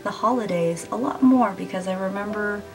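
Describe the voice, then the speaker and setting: A woman's voice: medium pitch, fairly quick, over music, rough recording. A woman in her twenties doing a casual children's picture-book read-aloud in a small room. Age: 20s.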